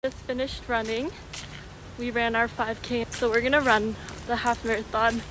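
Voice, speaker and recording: A woman's voice: fairly high pitch, flat delivery, normal speed, noisy background. A young woman, a college student, chatting casually to her camera in an informal vlog style, sprinkling in "like" as she goes. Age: young adult. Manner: informal, giggly, frequent filler "like". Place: dorm room and outdoor paths. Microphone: phone or camera at arm's length.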